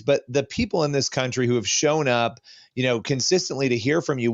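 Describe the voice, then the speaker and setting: Male interviewer, average pitch, easy conversational questioning, dull recorded-playback sound.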